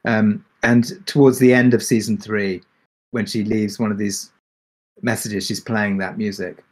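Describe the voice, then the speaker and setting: A man's voice: on the low side, steady tone, measured pace. A man speaking as a creator in a casual panel discussion, explaining things in a hesitant, rambling way. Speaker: audio drama creator on a Q&A panel.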